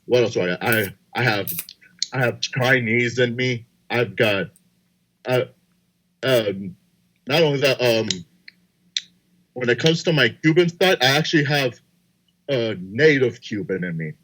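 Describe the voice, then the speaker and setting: A young man co-hosting a casual podcast, speaking hesitantly with many pauses and restarts. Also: mid-range voice, lively intonation, a bit bright, rough recording. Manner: hesitant, full of fillers and restarts. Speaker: podcast co-host.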